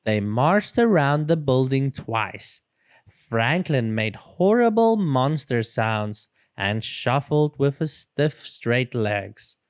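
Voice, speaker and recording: A storyteller reading a children's book aloud in an expressive, dramatic and deliberate way. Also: mid-range voice, clean tone, no room sound, clean studio sound. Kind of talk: expressive read-aloud narration.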